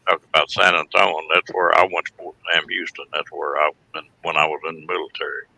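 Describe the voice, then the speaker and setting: Deep, gravelly Texan man. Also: fairly even intonation, quick pace, sharp tone, low-quality recording. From Texas, United States. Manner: folksy. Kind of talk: casual group chat, storytelling.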